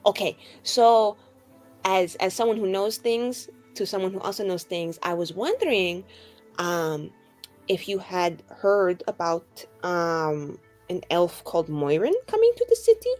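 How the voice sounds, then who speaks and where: A woman's voice: on the low side, very animated, a little slow, a little mellow, soft background music. A woman playing a friendly, naive young female adventurer in a tabletop game, rambling casually in very colloquial phrasing. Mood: inquisitive. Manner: rambling, casual, filler-heavy valley-girl phrasing. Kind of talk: improvised tabletop roleplay dialogue.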